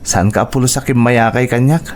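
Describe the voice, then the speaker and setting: A man's voice: mid-range voice, fairly even intonation, a little fast, a little hiss or hum, lightly acted. A man playing a shy, polite, hesitant young graduate from a poor family in an Ilocano radio drama, with an Ilocano accent. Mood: bashful, respectful.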